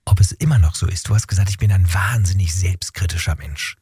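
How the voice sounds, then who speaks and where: A man's voice: low voice, a little fast, mellow, breathy edge, no room sound, clean studio sound. A man hosting a radio interview in a conversational style, putting questions and prompts to a guest.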